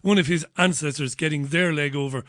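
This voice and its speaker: A man with a fairly high voice hosts a talk show. He talks casually and sardonically, wandering off into his own opinions. The sound is dry, with very little room to it. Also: a little fast, a bit bright, no echo. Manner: digressive, sardonic.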